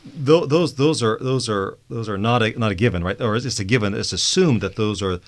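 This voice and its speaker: A man's voice: lowish voice, fairly quick. A man on a Bible study panel who explains the passage, often repeating words and ending points with "right?". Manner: repeats words while thinking, adds tag questions.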